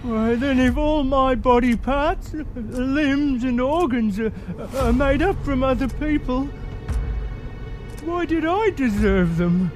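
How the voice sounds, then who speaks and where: A man's voice: very high voice, takes it slowly, over music. A man playing a naive, frightened patchwork creation in an audio drama, hesitant and protesting. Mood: anxious.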